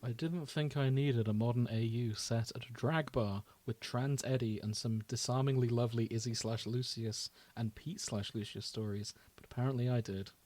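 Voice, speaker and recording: A man co-hosting a podcast in casual, rambling chat, informal and quick to laugh. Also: medium pitch, steady tone, a little mellow, slight background noise. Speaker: podcast co-host.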